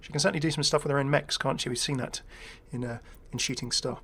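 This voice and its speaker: A man's voice: mid-range voice, steady tone, quick pace, fairly bright tone, slight background noise. A man hosting a gaming video, giving casual, rambling, chatty commentary.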